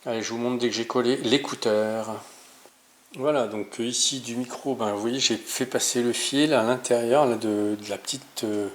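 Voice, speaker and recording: A man's voice: mid-range voice, steady tone, normal speed. A man giving an informal hands-on DIY tutorial as a channel host, speaking in an improvised, hesitant way and often correcting himself. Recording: roomy echo, clear background noise, clicks.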